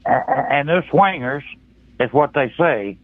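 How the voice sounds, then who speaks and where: A man's voice: on the high side, animated, measured pace, crowd noise. Folksy male caller on a phone-like line. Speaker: caller to a talk show. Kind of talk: casual, colloquial phone call. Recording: muffled, narrow phone-like line.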